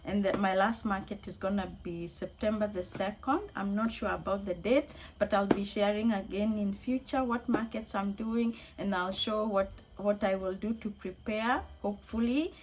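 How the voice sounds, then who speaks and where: A woman with a slightly hoarse voice, chatting casually and upbeat in a rambling vlog recap as a craft hobbyist. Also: medium pitch, steady tone, moderate pace, few pauses, slight background noise. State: slightly hoarse from being unwell. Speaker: crochet hobbyist and craft vendor vlogger.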